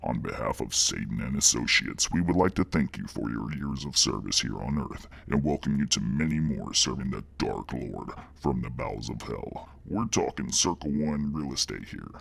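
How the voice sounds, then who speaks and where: A man voicing a menacing reptilian demon boss with a deep gravelly growl, speaking in slow, mock-polite, condescending corporate fashion. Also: no room sound, full character voice.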